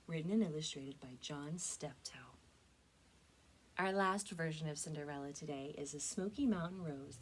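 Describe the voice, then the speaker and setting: A woman's voice: low voice, slight background noise, low-quality recording. A woman presenting a step-by-step craft demonstration for children as a librarian, speaking to her young viewers in a friendly, direct way.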